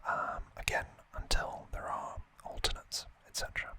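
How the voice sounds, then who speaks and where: A man hosting an ASMR video, whispering throughout as he explains things off the cuff, with thoughtful, hesitant pauses. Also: bright, forceful tone. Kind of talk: whispered ASMR, unscripted explanatory unboxing talk.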